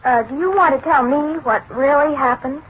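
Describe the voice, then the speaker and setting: Actress as an earnest, high-voiced newspaperwoman. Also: moderate pace, natural voice. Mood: concerned, gentle. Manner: earnest, pleading. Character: concerned, principled young woman newspaper reporter, kind. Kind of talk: 1940s radio drama acting. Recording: muffled, noisy 1940s radio recording.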